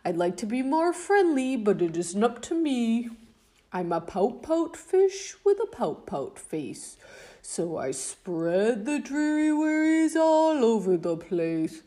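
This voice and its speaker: Woman voicing a gloomy male storybook fish, mopey sing-song chant, loud blubs, mellow tone, slow. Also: on the high side, sing-song. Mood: glum, sing-song.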